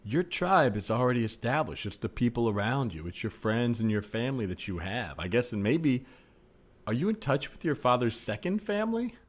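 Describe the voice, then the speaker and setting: A man improvising a level-headed, skeptical straight man in a comedy scene, reasoning in a measured, frank way. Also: medium pitch, lively intonation, close to an everyday voice. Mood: firm, practical. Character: level-headed, skeptical man advising his sister's boyfriend.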